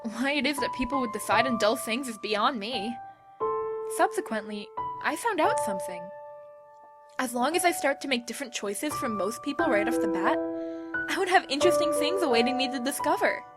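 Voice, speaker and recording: A woman playing a bossy, suspicious, hot-tempered high school club leader in an amateur anime audio drama, blunt and demanding. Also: expressive, fairly quick. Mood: musing. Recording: loud music behind the voice. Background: loud music.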